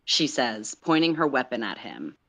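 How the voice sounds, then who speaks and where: A woman's voice: extremely low pitch, big pitch swings, normal speed, a bit bright. A woman co-reading on a livestream, narrating a story informally. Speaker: livestream co-reader.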